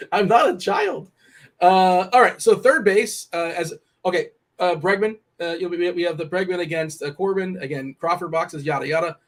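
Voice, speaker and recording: A young man analysing fantasy baseball picks in casual chat, rambling and correcting himself as he goes. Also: high-pitched. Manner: rambling, self-correcting, quick asides. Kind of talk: casual sports betting chat.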